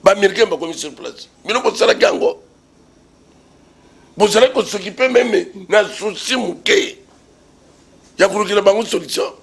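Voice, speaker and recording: Husky, high-pitched, emphatic Congolese man. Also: expressive, normal speed, long pauses, fairly bright tone. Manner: emphatic, repetitive, rhetorical questions. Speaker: political commentator being interviewed. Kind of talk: impassioned political argument in an interview.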